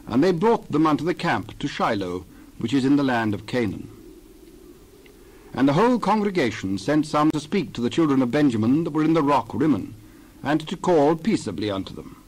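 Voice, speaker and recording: A man narrating a dramatized Bible reading, measured and formal with archaic scripture phrasing. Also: on the high side, sing-song, darkish tone, husky, rough recording.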